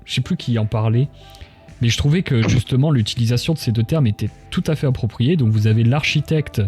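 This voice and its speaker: Mellow-voiced man hosting a casual stream. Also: mid-range voice, a little fast. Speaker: livestream host, interviewer. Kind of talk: casual chat, interviewing. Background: background music.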